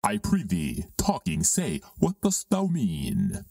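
An actor playing a sinister, imperious dark lord, declaiming archaic verse grandly in an amateur Shakespearean reading. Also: male voice, sits low, wildly swooping pitch, takes it slowly, gravelly, no echo, clean studio sound, recorded from a distance, full character voice. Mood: stern, imperious.

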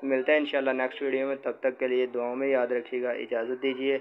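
A man's voice: mid-range voice, completely flat delivery, quick pace. A man giving informal, polite how-to instruction as an electrician addressing viewers as friends. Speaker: electrician presenting a repair tutorial channel. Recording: muffled lo-fi phone video with background noise.

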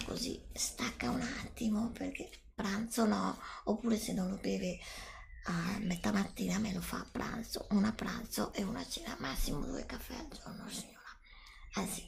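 Whispering, creaky-voiced middle-aged woman, ASMR. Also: mid-range voice, huge pitch swings. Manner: soft whispering with drawn-out, instructing delivery. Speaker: ASMR creator role-playing a nutritionist. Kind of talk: whispered ASMR roleplay of a consultation.

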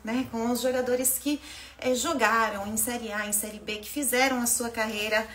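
A woman's voice: a little high, fairly even intonation, moderate pace, a bit bright. A woman in her thirties from Brazil, hosting a casual live-stream interview from a small home office, mixing Italian words into her Portuguese. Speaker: host.

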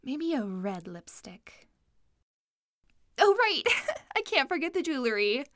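A woman's voice: high voice, sing-song, slow, noisy background, full character voice. A woman playing a haughty, theatrical stylist in a fantasy audio drama, dramatic and fussy. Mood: bossy, fussy.

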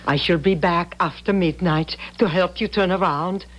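A woman's voice: on the low side, moderate pace, close to an everyday voice. An actress in a 1970s radio drama playing an eager, superstitious servant woman, emphatic yet deferential. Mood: insistent, superstitious. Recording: 1970s radio broadcast, music behind.

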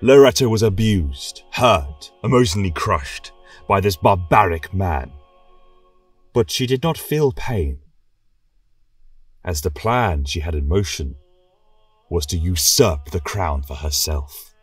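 A man's voice: low-pitched, lively intonation, slow pace, pauses often, breathy edge, distant mic, soft background music. A man narrating a scripted tale as a religious chronicler, in a solemn, reverent, theatrical style. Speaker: storyteller and podcast host.

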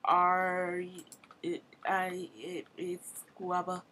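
A woman talks over her own gameplay in a slow, unhurried way, thinking aloud and breaking into quick exclamations. Her voice is bright and forward, recorded on a desk mic. Faint typing and mouse clicks sit behind her. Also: on the low side, completely flat delivery, a little hiss or hum. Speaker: gamer recording her play. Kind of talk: casual gameplay commentary.

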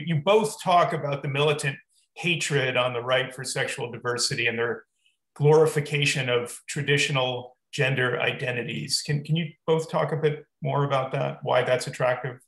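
In a roomy, echoing video-call recording, a middle-aged man moderates a panel. His voice is bright and mid-pitched, and he puts questions haltingly, repeating words as he goes. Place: indoor room, on a video call. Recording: echoey room over video call.

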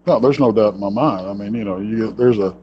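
A man, co-hosting a live show and talking in a halting, folksy way with many fillers. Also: fairly low pitch, slight background noise. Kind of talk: casual live podcast chat. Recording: dull lo-fi call audio.

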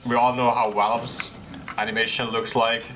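A young man casually commentating a horror game he streams, breaking into high surprised laughs. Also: level tone, normal speed, noisy background. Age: young adult. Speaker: gaming streamer. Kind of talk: casual let's-play commentary. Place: room at a desk with a gaming chair. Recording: game music playing behind. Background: game music and keyboard typing.

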